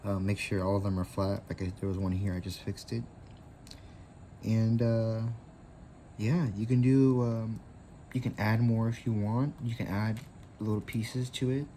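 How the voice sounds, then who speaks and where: A man's voice: on the low side, flat delivery, a little slow, warm tone, slight background noise. A man in his twenties hosting a casual craft tutorial, rambling easily with lots of fillers. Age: 20s.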